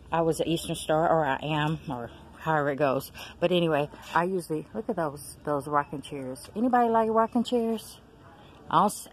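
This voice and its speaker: A low-pitched woman with a drawl chats to her viewers as she walks store aisles with a phone camera. She calls out prices, exclaims over finds and talks to her audience like friends. A faint store hum sits behind her. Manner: chatty, excited exclamations, addresses viewers as y'all and girl. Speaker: vlogger. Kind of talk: casual shop-with-me vlog. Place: large discount store aisles, indoors. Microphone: handheld phone camera.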